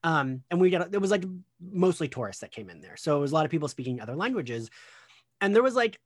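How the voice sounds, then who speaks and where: A woman's voice: low-pitched, very animated, fast talker, dry recording, clean studio sound. A woman chatting casually on a podcast, telling stories and laughing often as she talks. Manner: laughs often, self-deprecating storytelling. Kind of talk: casual chat.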